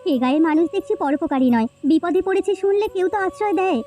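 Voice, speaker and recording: Woman acting very high-pitched, plaintive village wife. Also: animated, quick pace, dark tone, faint music behind. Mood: gentle, cautious. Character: anxious, gentle village wife and mother. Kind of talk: Bengali cartoon folk-tale dialogue acting.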